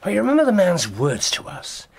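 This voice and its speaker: An actor playing a brilliant, musing English detective in a radio drama, with deliberate, theatrical phrasing. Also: male voice, high voice, wildly swooping pitch, gravelly, breathy edge, exaggerated voice. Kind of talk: BBC radio drama acting.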